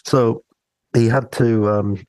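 A man co-hosting a podcast in casual, discursive conversation, with a gravelly, husky voice. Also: on the low side, fairly even intonation, takes it slowly, a little mellow, dry recording. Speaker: podcast co-host.

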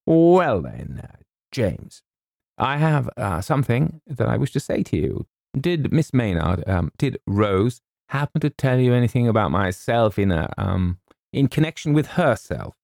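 A man's voice: mid-range voice, big pitch swings, a little slow, gravelly, darkish tone, dry recording, studio quality, pitched above the performer's own voice. Man playing a sentimental, faltering old English colonel. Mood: bashful, blustering. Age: older. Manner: hesitant, repeats himself, stumbles over names. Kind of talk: theatrical audiobook character voice.

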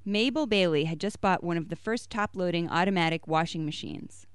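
Smooth-voiced woman reading a short story. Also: on the low side, fairly quick, dry recording, studio quality. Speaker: story reader.

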